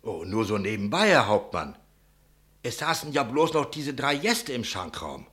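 A man's voice: mid-range voice, very animated, normal speed, a bit bright. An actor playing an anxious, talkative innkeeper in a German radio play, telling his account dramatically and deferentially. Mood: uneasy, explaining. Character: anxious, talkative male innkeeper giving testimony.

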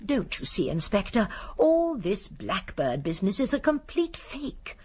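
A woman playing a shrewd, genteel elderly English lady sleuth in a radio drama, speaking primly and precisely with a gently assured manner. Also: medium pitch, very animated, unhurried, slightly rough. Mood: grave, certain. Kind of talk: BBC radio drama acting.

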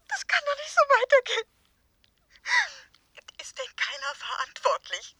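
Verzweifelte, weinende Anruferin mit schriller Stimme. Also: female voice, very high voice, wildly swooping pitch, a little slow, sharp tone, no echo, exaggerated voice. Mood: desperate, tearful. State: crying, desperate. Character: desperate woman phoning a live radio show, pleading. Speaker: caller to a radio programme. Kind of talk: German radio play acting.

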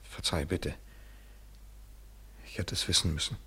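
An actor in a radio drama playing an apologetic, soothing male lover, low and husky, quiet and courteous. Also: steady tone, unhurried, heavy background noise. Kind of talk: intimate German radio drama acting.

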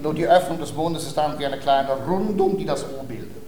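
An actor in a comic radio play, playing a patient, self-important language tutor who speaks in a precise, didactic and formal way. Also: male voice, fairly high pitch, fairly quick, reverberant, crowd noise, close to an everyday voice. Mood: patient instruction. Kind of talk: theatrical classic-comedy radio acting.

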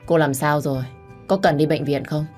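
A woman's voice: sits low, steady tone, normal speed, darkish tone, faint music behind. A woman voicing a concerned, apologetic estranged husband in a narrated melodrama. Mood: remorseful.

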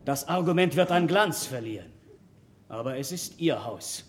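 An actor playing a pompous, condescending, learned court philosopher in a radio drama, with ceremonious delivery. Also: male voice, fairly high pitch, expressive, normal speed, slight background noise, natural voice. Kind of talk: theatrical radio drama acting. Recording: echoey room radio play recording.

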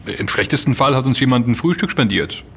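An actor playing a young student hacker in an audio drama, casual and curious, often musing aloud. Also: male voice, medium pitch, lively intonation, fairly quick, fairly bright tone, a little hiss or hum. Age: young adult. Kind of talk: German audio drama dialogue.

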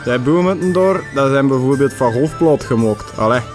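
An actor playing a bumbling, skeptical musician sidekick who talks in thick Flemish dialect in a comic radio play. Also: male voice, medium pitch, expressive, normal speed, music in the background, natural voice. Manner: folksy, thick regional dialect. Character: skeptical, bumbling singer-songwriter sidekick with folksy speech. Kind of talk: comedic radio play acting.

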